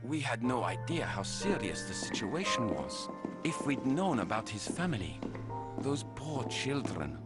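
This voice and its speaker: A man's voice: mid-range voice, big pitch swings, unhurried. An actor playing a concerned, helpful male academic in a horror video game, with an earnest, explanatory way of talking. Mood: regretful. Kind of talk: dramatic video game dialogue. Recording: music behind the voice.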